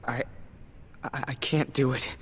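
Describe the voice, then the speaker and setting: Halting, very slow frightened witness. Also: male voice, medium pitch, steady tone, a bit bright, husky. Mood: frightened, apologetic. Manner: halting, hesitant. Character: hesitant, frightened male witness in a courtroom drama. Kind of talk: English video game dub acting.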